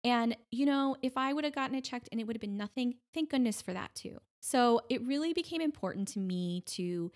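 A middle-aged woman speaking conversationally as an interview guest, telling her story in an animated way and often voicing quoted remarks. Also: on the high side, fairly quick, hardly any pauses. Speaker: podcast guest, breast cancer advocate.

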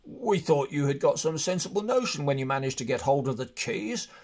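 A man's voice: mid-range voice, expressive, brisk, a little mellow, lightly acted. A man voicing fearful, complaining dwarves who grumble and protest in a storybook tale. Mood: grumbling, alarmed.

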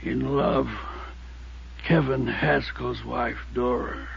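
Gravelly, weakened old prospector. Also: male voice, medium pitch, fairly even intonation, heavily acted. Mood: weak, bitter. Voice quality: gravelly, weak. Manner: halting, clipped fragments. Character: weak, wounded, hard-luck old prospector, distrustful of townsfolk. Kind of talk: 1950s radio drama acting. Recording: muffled, faintly noisy 1950s radio recording.

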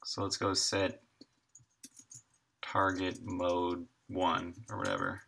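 A man's voice: low-pitched, completely flat delivery, slow, creaky, bright, forceful tone, slightly rough. A man in his thirties chatting casually and thinking aloud while he codes on a live stream, sometimes muttering to himself. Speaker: game developer live streaming.

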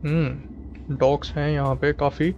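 A man's voice: a little high, level tone, racing, darkish tone, lo-fi sound, a little hiss or hum. A man with an Indian accent, a native Hindi speaker, doing casual gameplay commentary and reading game text aloud. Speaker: gaming video host.

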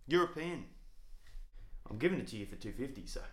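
An actor playing a cocky, foul-mouthed young Australian man in a small suburban flat. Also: medium pitch, a little fast, natural voice. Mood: irate. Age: young adult. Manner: crude, cocky, swears constantly. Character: brash foul-mouthed young Aussie hustler. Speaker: actor playing Jono, a crude young son.

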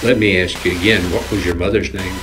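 Low-voiced man questioning spirits over hissing static. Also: steady tone, quick pace, over music, poor audio. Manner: short, spaced-out, plain questions. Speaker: host of a paranormal investigation. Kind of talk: questioning spirits in a ghost box session. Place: small domestic kitchen. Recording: loud hissing static behind voice. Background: hissing radio-sweep static noise.

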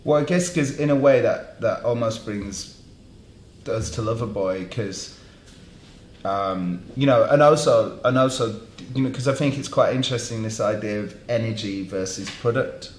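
A man's voice: lowish voice, moderate pace, echoey room. A man, a fashion journalist, hosting a panel discussion with rambling restarts. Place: dark studio around a table.

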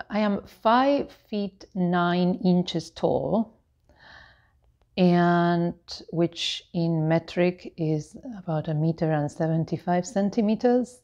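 A woman of about fifty talks casually to camera in a low-pitched voice. She takes her time, explaining things in a meandering, halting way. The sound is slightly muffled. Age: 49, about to turn 50. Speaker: lifestyle vlogger. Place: living room with tiled floor, indoors. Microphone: clip-on lapel microphone.

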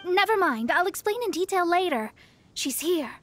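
A woman's voice: very high-pitched, lively intonation, moderate pace. An actress voicing a proud young female astrologer in a video game, speaking in a haughty, self-assured way. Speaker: game character. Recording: game audio with soft music.

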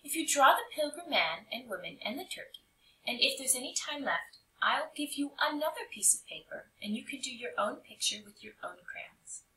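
A woman voicing a calm, reasonable art teacher in a storybook, measured and gently instructive. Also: high-pitched, pitched above the performer's own voice, full character voice. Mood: conciliatory. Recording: roomy echo, distant mic.

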